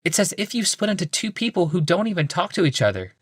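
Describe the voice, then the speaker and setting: Guy doing fed-up wife in a fantasy audiobook, high pitched, strained patience, firm. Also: sing-song, quick pace, breathy edge, no room sound, clean studio sound, exaggerated voice. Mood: impatient. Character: patient, exasperated wife, gentle but firm woman.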